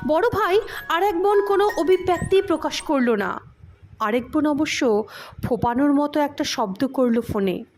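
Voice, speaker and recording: A woman reading a scripted story aloud in an expressive, dramatic storytelling manner. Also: high voice, normal speed, soft background music. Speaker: audio story narrator. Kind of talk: expressive scripted story narration with acted dialogue.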